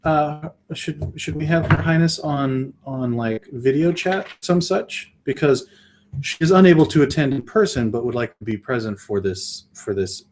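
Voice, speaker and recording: A man's voice: a little high, expressive, moderate pace, darkish tone, a little hiss or hum. A man playing a tabletop roleplaying game, talking casually and often repeating words while he thinks. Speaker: player.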